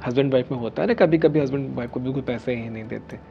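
A man's voice: medium pitch, very fast, vocal fry, highs cut off, soft background music. A man in his thirties, a healer, explaining a method to camera in an earnest, instructive way, sometimes lifting his voice for emphasis and sometimes dropping to a whisper. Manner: earnest, explanatory, rising emphasis on key phrases, drops to whispers. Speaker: spiritual healer and video host. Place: studio set with dark curtain and statue.

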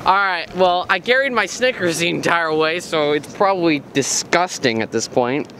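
A man's voice: on the high side, animated, normal speed, noisy background. A young man in his teens bantering playfully with a friend while filming at the beach. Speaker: vlogger. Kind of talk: casual chat with a friend. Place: sandy beach outdoors.

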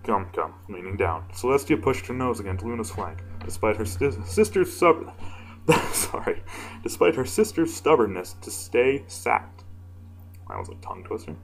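A man with a deep voice reading fan fiction casually, informal and self-deprecating, stumbling now and then. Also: sing-song, moderate pace, creaky, faint music behind, low-quality recording. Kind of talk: casual amateur story reading with asides.

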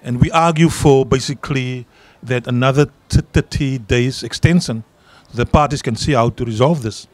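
A man's voice: medium pitch, moderate pace, few pauses, no echo. A middle-aged South African man with an Afrikaans accent, a union leader answering interview questions earnestly and repeating words for emphasis, speaking into a handheld mic outdoors. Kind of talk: interview answers at a public event. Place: outdoor garden event.

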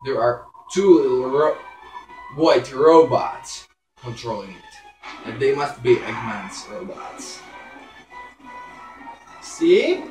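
A young man giving halting, improvised gameplay commentary as a YouTuber playing a video game. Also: mid-range voice, animated. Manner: halting, profane. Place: indoor room, recording a screen. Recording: echoey room, loud game music.

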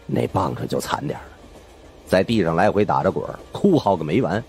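A man's voice: deep voice, sing-song, a little fast, gravelly, darkish tone, a little hiss or hum. A man narrating a scripted first-person audiobook story in a colloquial storyteller's manner.

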